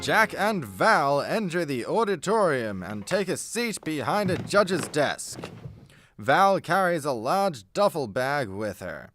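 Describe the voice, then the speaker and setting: A man's voice: fairly high pitch, big pitch swings, slow. A man reading scripted narration as the narrator of a comedy radio play.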